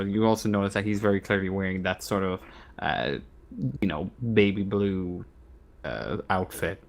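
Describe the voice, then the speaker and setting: A man running a tabletop roleplaying game as game master, describing scenes and rules in a halting way, stumbling over words. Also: low-pitched. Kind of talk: improvised tabletop game narration and rules talk.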